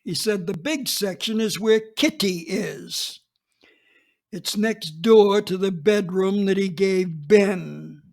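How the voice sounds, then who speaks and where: A man narrating a hard-boiled detective story in the first person, gritty and deliberate. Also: high-pitched, vocal fry, darkish tone.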